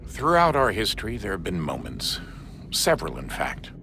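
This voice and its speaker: A man playing a world-weary, resolute member of a secret order in a video game, speaking in a grave, measured, reflective way. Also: low-pitched, huge pitch swings, vocal fry, pushed and bright, faint music behind, heavily acted. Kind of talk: naturalistic cinematic video game acting.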